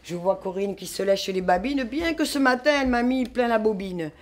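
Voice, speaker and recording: A woman's voice: on the high side, lively intonation, moderate pace. A woman who is a slam poet, speaking in a playful, rhyming way. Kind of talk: playful spoken word.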